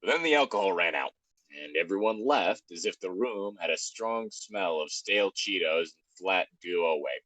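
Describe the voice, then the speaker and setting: Deep, animated man reading stories. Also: moderate pace, no echo, poor audio. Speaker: co-host reading online stories aloud. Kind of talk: story reading with casual banter.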